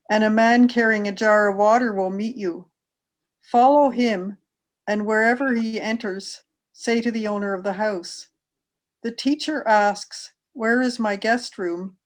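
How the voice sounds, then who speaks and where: A woman reads scripture aloud at an ordinary middle pitch. The delivery is scripted narration, as from a member of a church taking a turn as reader.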